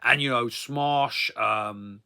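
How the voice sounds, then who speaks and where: A man's voice: medium pitch, takes it slowly, creaky, sharp tone. A man in his thirties telling a casual, rambling personal story as a vlogger, hesitant and repetitive, often tailing off into tag phrases.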